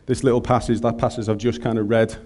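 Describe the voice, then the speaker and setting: A man's voice: mid-range voice, flat delivery, quick pace, dark tone. A man with a Scouse accent preaching a sermon in a chatty, joking way, speaking into a microphone in a large room. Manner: humorous, conversational, colloquial.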